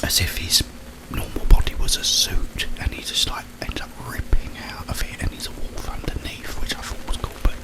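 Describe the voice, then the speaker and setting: A man speaking in a breathy, unvoiced whisper, rambling informally and soothingly in an ASMR style. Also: moderate pace, few pauses, low-quality recording. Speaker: ASMR video creator and host. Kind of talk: whispered ASMR ramble retelling a film plot.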